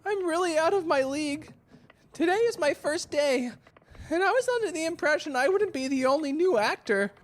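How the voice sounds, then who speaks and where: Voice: high-pitched, animated, moderate pace. An actor playing an anxious, tired new recruit in an audio drama, hesitant and pleading in casual modern speech. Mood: nervous. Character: anxious, exhausted young new actor posing as sailor.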